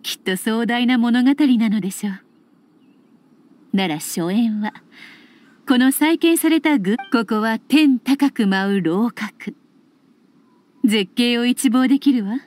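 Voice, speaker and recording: A woman playing a poised, calculating woman leader in an anime game, speaking in a composed, elegant, deliberate way. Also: on the high side, darkish tone, no room sound. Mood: composed, gracious. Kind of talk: Japanese anime game voice acting.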